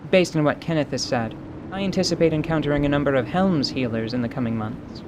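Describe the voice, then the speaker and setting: A man voicing a battle-worn, winged fantasy mercenary in an audio drama, stoic and wry, speaking in a dry, formal, deliberate way. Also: a little high, noisy background, natural voice. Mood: stoic, explanatory.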